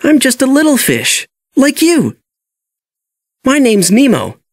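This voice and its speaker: A man's voice: very high-pitched, huge pitch swings, slow, no room sound, clean studio sound, pitched above the performer's own voice, exaggerated voice. A man voicing a brave, friendly young boy fish with an eager, childlike manner in a storybook.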